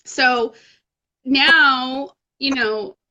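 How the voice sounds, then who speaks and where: A woman in her thirties talks in a casual, motivational way, frank and self-deprecating. Her voice sits a little high and sounds bright and forceful. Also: very slow, dull audio. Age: 30s. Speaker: fitness challenge group coach.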